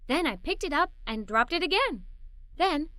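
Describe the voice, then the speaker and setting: Children's storybook lead: curious, adventurous little girl, played by a woman, very lively and bright, expressive and playful. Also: high-pitched, normal speed, dry recording, full character voice. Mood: cheerful, excited. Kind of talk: scripted children's story read-aloud.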